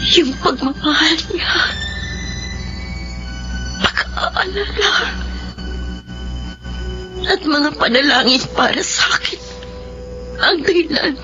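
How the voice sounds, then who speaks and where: A woman's voice: high-pitched, animated, muffled recording. A Filipino woman acting in a radio drama as an emotional youngest adult child devoted to a forgetful mother. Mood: regretful, emotional. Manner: emotional, drawn-out, pleading delivery. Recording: band-limited radio drama audio under loud music.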